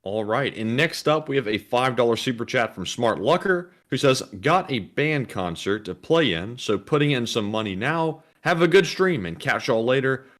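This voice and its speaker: A man co-hosting a live stream, reading out viewer messages in a calm, fairly monotone voice. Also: medium pitch, normal speed, hardly any pauses. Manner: calmer, more monotone voice. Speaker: co-host reading donations.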